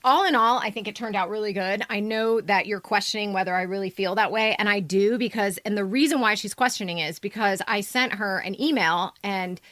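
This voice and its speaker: A woman's voice: mid-range voice, a little fast, hardly any pauses, fairly bright tone, no echo. A woman co-hosting a podcast, giving blunt, teasing critique in casual conversation. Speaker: podcast co-host and editor giving commentary.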